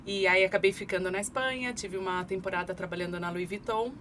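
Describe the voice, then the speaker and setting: A woman in her forties, from Brazil, a marketing expert guest on a podcast, explaining her career in steady, articulate speech with frequent fillers. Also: medium pitch, fast. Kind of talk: conversational podcast interview. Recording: clear background noise.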